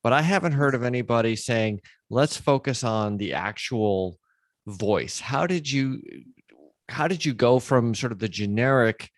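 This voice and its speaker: A man's voice: medium pitch, animated, measured pace. A man hosting an interview podcast, speaking in a conversational style. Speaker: podcast host.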